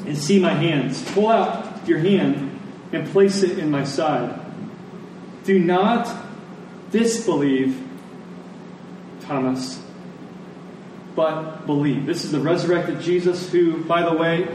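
Echoey, higher-voiced man preaching a sermon. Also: a little mellow. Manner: earnest, emphatic, repeats phrases for weight. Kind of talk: sermon, closing in prayer. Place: large echoing room, church service. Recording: echoey large room, distant, faint noise.

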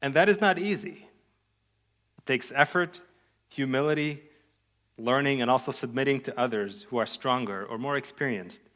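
An adult man delivering a sermon at a mid-range pitch. He speaks earnestly and deliberately, lifting his voice on key phrases and letting sentences fall away softly at the end. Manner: earnest, measured preaching with sweeping rises and soft trailing endings. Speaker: pastor.

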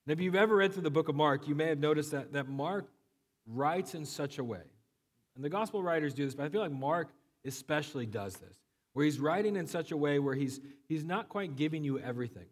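A man preaching a sermon while he has a cold. His voice is low and flat, and he talks conversationally with many fillers and restarts. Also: a little fast, big-room sound. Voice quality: low, monotone voice. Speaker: pastor.

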